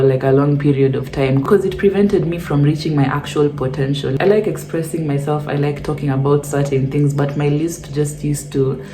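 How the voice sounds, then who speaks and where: A woman's voice: low-pitched, mellow, poor audio. A young woman with a lisp, chatting playfully and sassily to camera as she answers fan questions. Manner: playful, sassy, mixes Swahili slang into English. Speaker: vlogger reading fan questions. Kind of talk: casual chat to camera.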